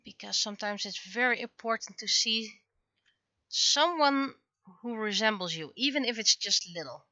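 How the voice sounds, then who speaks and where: Casting: woman, bright tone, casual streamer chatting in halting bursts while she works, very dry close sound. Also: fairly high pitch, expressive, dry recording, dull audio. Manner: halting, thinking aloud. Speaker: livestreaming webcomic artist. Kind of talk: casual livestream chat while drawing.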